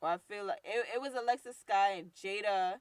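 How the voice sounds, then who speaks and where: A young woman from New York with a deep, rough voice and a New York accent, hosting her own show with blunt, slangy, heated talk. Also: a little fast, no echo. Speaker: podcast host. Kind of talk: casual solo commentary.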